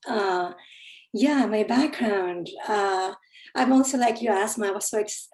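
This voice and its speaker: A woman's voice: mid-range voice, a little mellow. Woman, facilitator type; earnest, self-correcting, echoey room on a call. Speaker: facilitator and well-being consultant. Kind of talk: personal introduction in an online circle. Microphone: video call.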